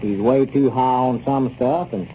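An actor playing a well-meaning small-town neighbor in a 1930s radio comedy, placating and folksy. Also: male voice, medium pitch, a little slow, mellow, close to an everyday voice. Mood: earnest, placating. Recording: noisy dull 1930s radio recording.

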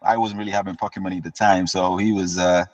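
A man, telling his story as an interview guest in a relaxed way and often repeating words as he restarts. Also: deep voice, fast talker. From United Kingdom. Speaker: guest musician. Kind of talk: casual interview chat. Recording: dull lo-fi sound with long reverb.

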